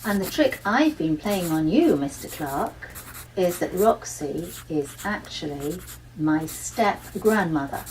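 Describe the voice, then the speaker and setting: A woman's voice: lowish voice, animated, takes it slowly, a little mellow, far from the mic, a little hiss or hum, low-quality recording. A woman reading a children's audiobook as an earnest, quirky primary-school child telling a diary in the first person. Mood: earnest, deliberate. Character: schoolchild Joe, earnest, quirky Year 6 diarist.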